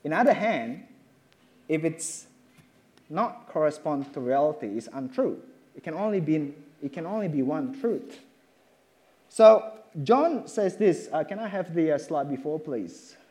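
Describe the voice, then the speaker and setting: Male preacher, slightly high, mellow tone, halting delivery. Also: animated. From Indonesia. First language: Indonesian. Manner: halting, with many fillers and restarts. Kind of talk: sermon with slides.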